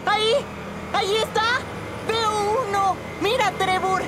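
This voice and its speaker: A woman's voice: extremely high pitch, fairly even intonation, slow. A woman playing a smug, mischievous young boy in a Latin American Spanish children's cartoon dub. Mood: excited. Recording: loud music behind.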